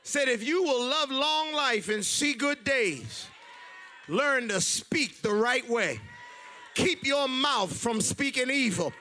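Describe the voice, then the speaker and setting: A man preaching a sermon in a church, emphatic and loud, mixing humor with exhortation. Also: extremely high pitch, lively intonation, takes it slowly. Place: church sanctuary.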